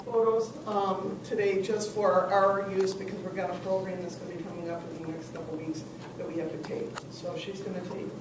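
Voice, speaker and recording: Hoarse woman teaching a class from afar. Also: lowish voice. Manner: chatty, asks the class questions. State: losing her voice. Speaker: small business consultant teaching a class. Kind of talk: classroom workshop instruction. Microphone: far camera. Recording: echoey room from far off, noisy.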